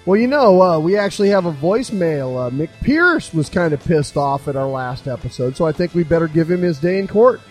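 A man's voice: a little high, expressive, fairly quick, few pauses, a little mellow, soft background music. A man chatting casually as a podcast co-host. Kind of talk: casual chat.

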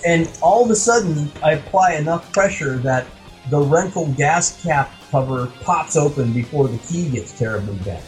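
A man with a slightly high voice chats as a podcast co-host, spinning comic anecdotes with wry exasperation and the odd mild swear. Faint music runs behind him. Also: expressive, moderate pace, poor audio. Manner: exasperated, wry, mildly profane storytelling. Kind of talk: casual comic anecdote and banter.

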